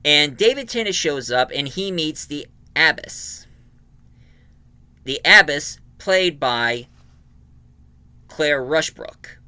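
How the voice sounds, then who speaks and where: A man giving an informal, emphatic review of an audio drama, recapping it in a halting way. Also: medium pitch, sharp tone, clean tone, dry recording.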